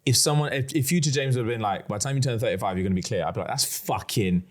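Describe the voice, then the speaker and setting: A man's voice: medium pitch, very fast. Frank man in his thirties. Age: 30s. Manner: loose, rambling and frank, with swearing and "bro". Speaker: podcast co-host. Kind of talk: casual chat.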